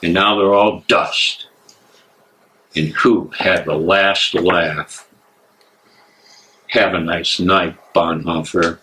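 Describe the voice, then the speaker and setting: A man playing a bitter, cruel, gloating Nazi major in a dramatic stage reading, with a taunting, deliberate delivery. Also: low-pitched, fairly even intonation, pushed and bright, a little hiss or hum, exaggerated voice, low-quality recording. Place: library room, live reading.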